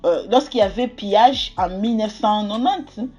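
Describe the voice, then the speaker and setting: A woman's voice: mid-range voice, moderate pace, darkish tone, muffled recording, soft background music. Outspoken Congolese woman dishing gossip. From Democratic Republic of the Congo. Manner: opinionated, repeats filler words like "quoi" and "tout et tout". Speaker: celebrity gossip commentator. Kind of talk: casual gossip commentary to camera.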